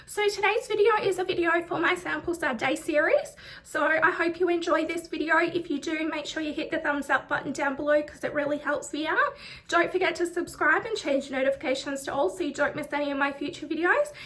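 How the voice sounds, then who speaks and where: A woman's voice: high-pitched, a little fast, a bit bright. A woman reviewing a product in a casual vlog, talking in a chatty way with many ums and likes, sounding slightly nasal from a recent chest infection. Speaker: beauty vlogger.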